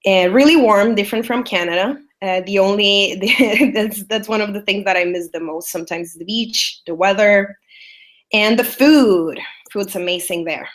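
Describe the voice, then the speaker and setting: A woman's voice: medium pitch, normal speed. A woman in her thirties with a Salvadoran Spanish accent, presenting in a webinar in an upbeat way with frequent "uh" fillers. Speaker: guest presenter, business strategist. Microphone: online webinar call.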